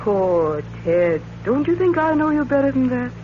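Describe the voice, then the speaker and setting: On a dull, faintly noisy vintage radio recording, a nurse turned wife with a secret. Her voice is high and womanly, in the style of 1940s radio melodrama. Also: very animated, normal speed. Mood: tender, controlled. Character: accused former nurse, now a composed, affectionate wife.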